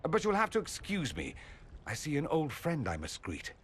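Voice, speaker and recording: A man's voice: a little high, wildly swooping pitch, normal speed, slight background noise. An actor playing a witty, vain male nobleman with a sardonic, theatrical delivery. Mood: wry. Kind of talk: video game cutscene acting.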